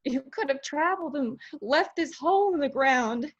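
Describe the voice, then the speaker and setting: Woman acting hurt young daughter, higher voice. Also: animated, moderate pace, dull audio. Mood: confrontational. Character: hurt, confrontational young daughter searching for answers. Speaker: actor in a screenplay table read. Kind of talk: dramatic screenplay table read.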